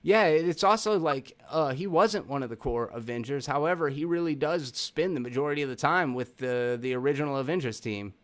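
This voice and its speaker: A man hosts a relaxed film discussion in a fairly high voice. He talks casually and slangily, dropping swear words as he goes. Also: a little fast, hardly any pauses. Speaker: podcast host. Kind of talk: casual movie review chat.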